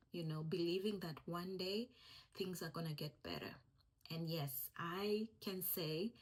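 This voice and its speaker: A woman's voice: fairly low pitch, slightly rough, poor audio. A young woman from East Africa, a Swahili speaker, giving an encouraging faith-based vlog talk in a conversational way that repeats its key phrases. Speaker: vlogger.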